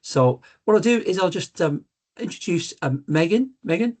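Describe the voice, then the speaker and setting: A man's voice: sits high, lively intonation, moderate pace. Chatty Geordie man hosting a webinar. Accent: Geordie (Newcastle). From Newcastle, England. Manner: conversational, many ums and uhs. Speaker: sales director presenting a webinar. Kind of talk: live business webinar presentation.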